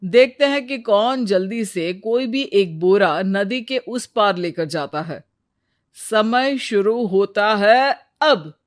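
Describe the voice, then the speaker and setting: A stern yet patient royal minister from a cartoon moral tale, played by a woman. The delivery is slow and instructive, at a medium pitch. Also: lively intonation. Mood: commanding.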